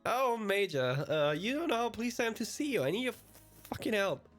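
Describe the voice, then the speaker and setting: Brief: anxious, deferential young hero of a visual novel, voiced by a man, pitched up, music underneath. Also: very animated, brisk, a bit bright. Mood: anxious, pleading. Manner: hesitant, deferential. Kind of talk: visual novel dialogue read aloud. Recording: music behind the voice.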